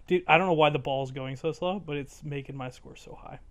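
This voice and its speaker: A young man hosting casually, excitable, slangy and easily distracted. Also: fairly high pitch, a little fast. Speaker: host of a fan fiction reading channel. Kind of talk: casual banter introduction.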